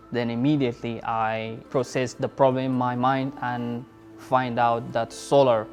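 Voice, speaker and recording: A man's voice: mid-range voice, darkish tone. A man, a startup founder, telling his story in an interview in an earnest way and searching for words.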